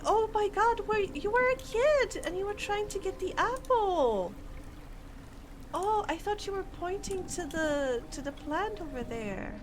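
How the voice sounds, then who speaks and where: A woman's voice: sits high, background noise. A woman streamer chatting playfully and thinking aloud while she plays, sometimes reading in-game text aloud. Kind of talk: gameplay commentary.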